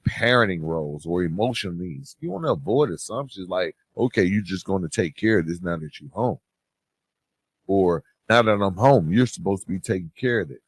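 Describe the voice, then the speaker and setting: A man hosting a podcast, giving earnest, reflective advice in a measured way and checking in with the listener as he goes. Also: fairly low pitch, no echo. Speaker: podcast host.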